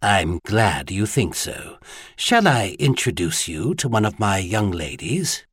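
Gracious, poised mature courtesan, played by a male reader, courteous and soft, audiobook dialogue, dry sound. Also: on the low side, sing-song, slow, husky, dry recording, voice pushed down, heavily acted. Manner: courteous, gracious, softly spoken. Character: gracious, charming, poised woman courtesan, no longer young.

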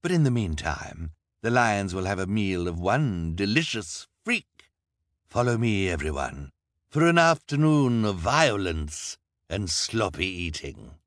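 A man's voice: sits low, big pitch swings, unhurried, a bit bright, dry recording, studio quality, heavily acted. A man voicing a vain, boastful villain count in an audiobook. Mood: gloating. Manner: boastful, proud.

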